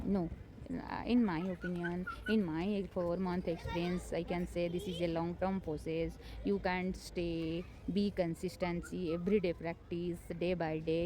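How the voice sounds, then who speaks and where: A woman whose first language is not English gives unscripted learning tips as a homemaker, hesitant and encouraging, with many fillers and restarts. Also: on the low side. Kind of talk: unscripted motivational talk to camera. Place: home.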